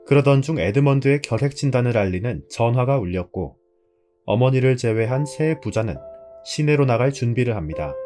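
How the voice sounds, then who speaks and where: A man's voice: medium pitch, mellow. A man narrating a drama's story in a scripted storytelling style. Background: background music.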